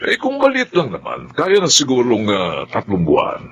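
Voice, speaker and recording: Humble, animated Filipino father. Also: mid-range voice, normal speed, muffled recording, a little hiss or hum. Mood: agreeable, hearty. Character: humble, grateful middle-aged father of a grown son. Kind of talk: Tagalog radio drama acting.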